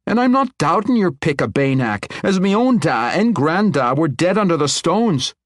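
An earnest young dwarf king, voiced by a male narrator, pitched high in a lively brogue. He speaks with steady loyalty, explaining himself plainly, in a dry storybook reading. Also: moderate pace, no echo.

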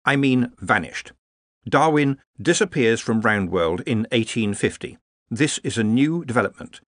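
A man's voice: medium pitch, lively intonation, measured pace, a bit bright, no echo. A man voicing a prim, literal-minded thinking machine in a dry, terse manner. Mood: flat, precise.

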